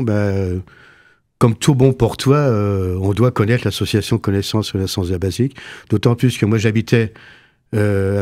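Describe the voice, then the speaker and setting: A man in his seventies from Lorraine, answering radio interview questions while pausing to search for his words. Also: lowish voice, darkish tone, no echo, clean studio sound. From Lorraine, France. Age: 70s. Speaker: association vice-president, guest. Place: radio studio.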